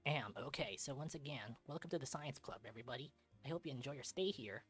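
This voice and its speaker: A narrator doing a young male fox club president, mid-pitched, earnest, slightly officious, music behind. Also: lively intonation, quick pace, close to an everyday voice. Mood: welcoming.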